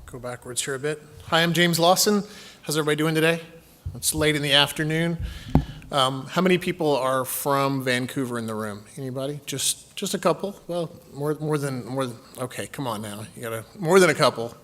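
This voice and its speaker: A man's voice: a little high, brisk, fairly bright tone, a little hiss or hum. Joking Texan man at a conference talk. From Texas. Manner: chatty, jokey with the audience. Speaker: conference presenter. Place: conference session room.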